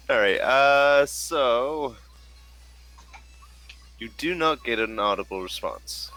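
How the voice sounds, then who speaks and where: A man running an online tabletop game as game master, talking haltingly and muttering as he goes. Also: medium pitch, expressive, sharp tone.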